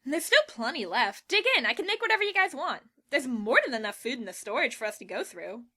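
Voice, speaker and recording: A woman playing a bossy, boisterous, energetic teenage schoolgirl in an audio drama, brash and eager. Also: on the high side, brisk, exaggerated voice. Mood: cheerful, bossy.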